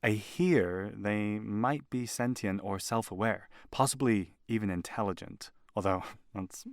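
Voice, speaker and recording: A man's voice: lowish voice, measured pace, dry recording, studio quality. A man playing an earnest, conscientious alien property buyer in an audio play, with a hesitant, deliberating delivery. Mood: pondering.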